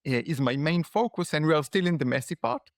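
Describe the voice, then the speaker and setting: A man with an Israeli accent, a guest giving relaxed, reflective interview answers with frequent fillers. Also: on the high side, a little fast, dry recording, studio quality. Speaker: guest, startup founder and lecturer.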